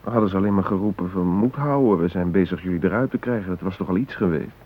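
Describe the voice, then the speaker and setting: An actor playing an anxious but resigned hostage in a radio drama, reflective and hesitant, with wry fatalism. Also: male voice, sits low, quick pace, husky. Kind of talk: naturalistic radio drama acting. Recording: noisy, narrow-band old radio recording.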